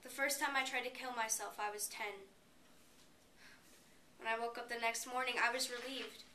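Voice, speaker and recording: A woman's voice: fairly high pitch, fairly even intonation, moderate pace, sharp tone. A woman performing a dramatic stage monologue as a despairing, bitter young college student, emotive and confessional. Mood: sad, reflective. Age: young adult. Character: despairing suicidal college girl, bitter and pleading. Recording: roomy, distant mic, faint noise.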